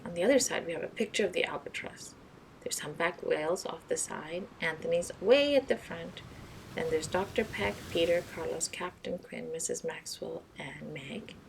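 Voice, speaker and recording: A woman's voice: lowish voice, animated, normal speed, creaky, a little hiss or hum, low-quality recording. A woman narrating a children's mystery storybook as a read-aloud storyteller.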